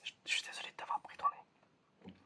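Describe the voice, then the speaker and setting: An ASMR performer whispering a roleplay exam in a hesitant murmur, often repeating short phrases. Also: fairly quick. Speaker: ASMR performer playing an examiner.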